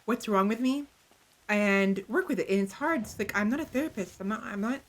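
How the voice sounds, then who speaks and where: Soft-spoken woman with vocal fry. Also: medium pitch, brisk. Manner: soft, hesitant, self-reflective, many restarts. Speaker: VTuber streamer. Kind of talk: casual chat while livestreaming a game. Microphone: close desk mic.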